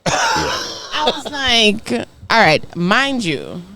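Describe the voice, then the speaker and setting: A woman's voice: fairly high pitch, extremely slow, background noise. A young adult woman with an accent, a podcast co-host telling stories in casual chat. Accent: non-native English accent. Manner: animated, emphatic storyteller.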